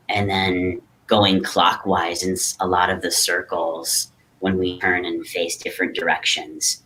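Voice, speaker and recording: A man talking in a relaxed, reflective way, sharing the story of his artistic practice as the guest in an interview. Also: low-pitched, rough recording. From Great Lakes region, North America. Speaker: guest artist, hoop dancer.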